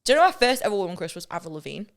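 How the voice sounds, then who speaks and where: A woman's voice: lowish voice, sing-song, very fast. A woman guest on a podcast, whose first language is Cantonese, chatting casually and teasing the hosts. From England. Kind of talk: casual chat.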